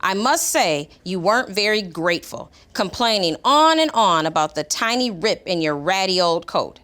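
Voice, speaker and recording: A woman's voice: mid-range voice, big pitch swings, moderate pace, a bit bright. A woman reading a storybook aloud as a needy, indignant dog, in a dramatic, complaining manner. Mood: indignant pleading. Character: indignant, needy pet dog writing a pleading letter. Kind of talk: expressive storybook read-aloud.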